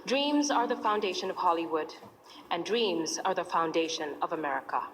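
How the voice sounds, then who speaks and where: A woman from Kenya presenting an award on stage in a measured, poised scripted speech. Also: on the low side, animated. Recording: distant broadcast audio, loud noise.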